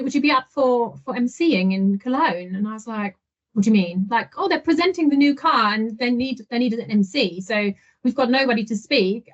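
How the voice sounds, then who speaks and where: A woman guest on a podcast interview, telling her stories in a lively way and often laughing. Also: a little high. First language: not French. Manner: animated storyteller, laughs often. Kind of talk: casual interview. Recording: dull lo-fi audio.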